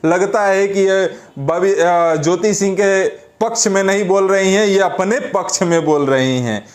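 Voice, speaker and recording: High-pitched Bihari man giving commentary. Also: measured pace. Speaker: online news commentator. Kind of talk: informal opinion commentary on celebrity gossip.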